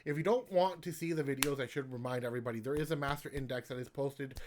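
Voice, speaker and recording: A man's voice: medium pitch, fast talker, a little hiss or hum. A man hosting his own poetry channel, reading his poems aloud in a casual way and starting lines over when he stumbles.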